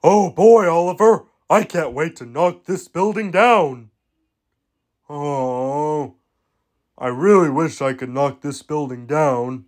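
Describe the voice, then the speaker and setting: A man voicing a clumsy, eager, apologetic construction machine in a children's cartoon, exclaiming and repeating himself in drawn-out phrases. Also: on the high side, darkish tone. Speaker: voice actor performing a character reel. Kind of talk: animated children's show character acting.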